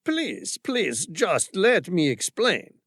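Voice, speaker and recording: A man voicing a nervous French naval commander with a heavy French accent, pleading in a high, slow voice. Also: wildly swooping pitch, fairly bright tone, no echo, clean studio sound, full character voice.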